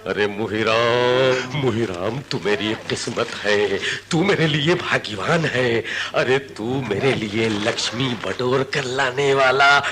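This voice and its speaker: An actor playing a greedy, excitable merchant boss in a radio drama, exclaiming and repeating himself theatrically. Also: male voice, medium pitch, slow pace, few pauses. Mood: awed, grateful. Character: greedy, excitable male merchant boss, gloating over elephants.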